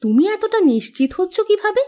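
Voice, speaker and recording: A woman playing a sweet, obedient, anxious village wife in a cartoon, speaking in a gentle, expressive way. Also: very high-pitched, brisk. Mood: doubtful, worried. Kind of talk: Bengali cartoon dialogue. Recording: music bed behind the voice.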